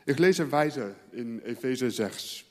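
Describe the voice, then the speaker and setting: A male pastor from the Netherlands, a native Dutch speaker, preaching earnestly and letting his phrase endings fall quiet. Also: medium pitch, expressive, a little slow, long reverb tail. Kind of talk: sermon.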